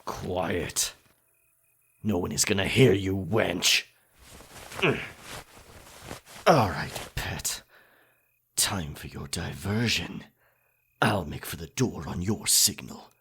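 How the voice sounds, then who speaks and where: A sly, domineering pirate captain for roleplay ASMR. His low voice is very husky and raspy, and he talks slowly and theatrically, with menace and dark amusement. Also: full character voice. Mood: gloating, commanding. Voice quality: raspy, gravelly. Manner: slow, deliberate, theatrical pirate talk.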